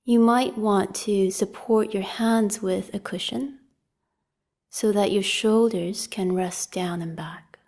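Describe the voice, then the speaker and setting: A woman leading a guided meditation as a teacher, giving calm, gentle, unhurried instructions with long pauses and a soft voice that turns slightly breathy at phrase ends. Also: medium pitch.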